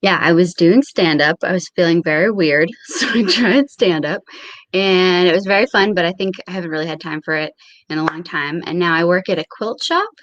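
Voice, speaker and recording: A woman's voice: on the low side, a little fast, no room sound, muffled recording. A woman in her twenties chatting casually as a guest, giggly and self-deprecating. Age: 20s. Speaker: guest, comedian. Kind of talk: casual chat.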